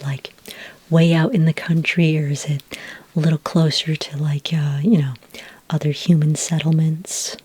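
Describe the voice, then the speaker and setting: A woman playing a patient, helpful fantasy dragon ranch expert in a whispered, breathy, hesitant ASMR roleplay. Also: low-pitched, warm tone, dry recording, full character voice. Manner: hesitant, unhurried explaining, frequent ums.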